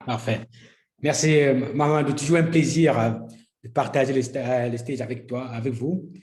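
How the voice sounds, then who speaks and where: A man's voice: medium pitch, fairly even intonation, moderate pace, darkish tone. Upbeat man in a roomy echo. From Cameroon. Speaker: entrepreneur, investor. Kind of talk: panel talk.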